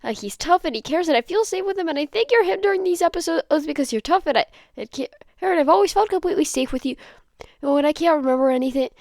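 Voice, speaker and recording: An anxious, affectionate teenage boy acted by a woman reader in a dramatised story. The voice is pushed up high and swings about, stammering and pleading in a very dry recording. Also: animated, a little mellow, no echo. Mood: tearful frantic rant. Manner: stammering, pleading, rushed rambling. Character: anxious, tearful, guilt-ridden teenage boy, affectionate and frantic. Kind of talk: dramatised fan fiction reading.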